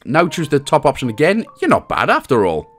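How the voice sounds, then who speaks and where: A man's voice: a little high, huge pitch swings, fairly quick, fairly bright tone, faint music behind. A man with a Welsh accent giving a casual game walkthrough with cheeky, jokey asides. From Wales. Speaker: video game guide host.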